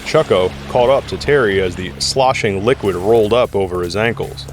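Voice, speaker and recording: A man's voice: lowish voice, normal speed, over music. A man reading dramatic scripted narration for an action audio drama.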